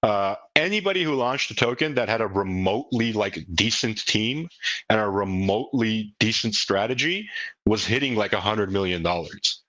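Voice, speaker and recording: Low-voiced man talking earnestly, close and dry. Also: animated, moderate pace, pushed and bright, dry recording, low-quality recording. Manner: earnest, emphatic, repeats himself. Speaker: crypto project leader hosting a community call. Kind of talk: casual unscripted group audio talk.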